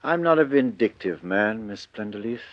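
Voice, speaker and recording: An actor playing a bluff, genial English chief inspector in a hearty mid-century radio drama style. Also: male voice, fairly low pitch, expressive, normal speed, no echo, close to an everyday voice. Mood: lenient, gruff. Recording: dull vintage radio recording.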